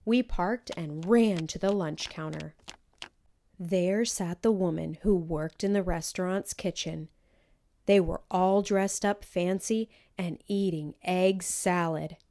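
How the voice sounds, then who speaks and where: A woman's voice: fairly low pitch, slow. A woman reading a children's story expressively, as scripted narration, acting out the dialogue. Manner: animated, acts out each character's dialogue. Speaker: storybook reader.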